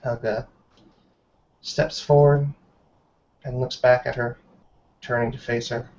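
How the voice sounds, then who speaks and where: A man's voice: mid-range voice, flat delivery, slow pace, darkish tone. Man narrating roleplay over muffled call. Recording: dull, lo-fi online call.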